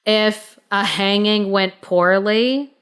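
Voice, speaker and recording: A woman co-hosting a podcast, telling a story casually in an informal, halting, explanatory way. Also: mid-range voice, fairly bright tone, clean tone.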